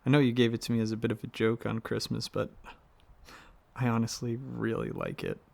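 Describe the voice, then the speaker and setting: A man playing an affectionate, excitable dragon boyfriend in an ASMR roleplay, talking casually and a little haltingly, with a gravelly voice. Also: mid-range voice. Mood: playful, affectionate. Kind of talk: ASMR roleplay, softly addressing the listener as partner.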